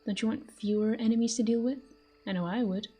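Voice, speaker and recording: Casting: actress as jealous, flirtatious female fantasy villain for audio roleplay; mellow, breathy, smug, soft music underneath. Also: fairly high pitch, fairly even intonation, a little fast. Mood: possessive, coolly menacing. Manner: smug, taunting, breathy murmurs. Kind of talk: intimate scripted audio roleplay addressed to the listener.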